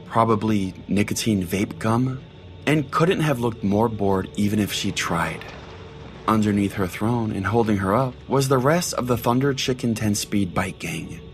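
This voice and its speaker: Low-voiced man narrating a comic tale. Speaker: narrator, podcast host. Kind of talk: scripted first-person comic storytelling.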